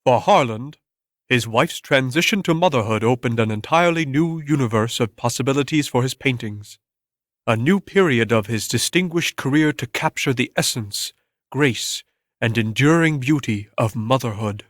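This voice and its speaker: A man narrating a scripted story in a measured, deliberate, dramatic-reading style, close on the microphone. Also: medium pitch, animated, fairly bright tone, no echo. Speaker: audiobook narrator.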